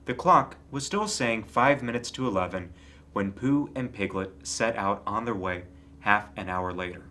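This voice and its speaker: A man reading a children's story aloud as an expressive scripted narrator. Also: on the low side, moderate pace. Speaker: storybook reader.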